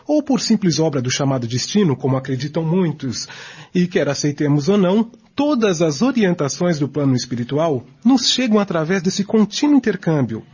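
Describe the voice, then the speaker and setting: A man's voice: on the high side, darkish tone, breathy edge. A man with a Brazilian accent playing an assured, didactic spiritist in a radio drama, formal and emphatic. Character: assured, didactic male spiritist group member. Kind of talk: amateur radio drama acting. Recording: dull lo-fi.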